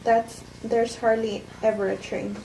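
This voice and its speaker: A woman's voice: fairly high pitch, little pitch movement, a little slow, a little mellow. A woman reading the part of a guarded, composed widow in an understated, hesitant script table read. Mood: cautious, polite. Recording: echoey room with clear background noise.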